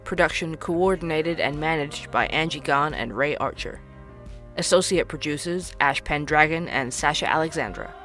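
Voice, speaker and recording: An actor playing a male podcast host who investigates cold cases, speaking in a calm, measured, explanatory way. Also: fairly high pitch, soft background music.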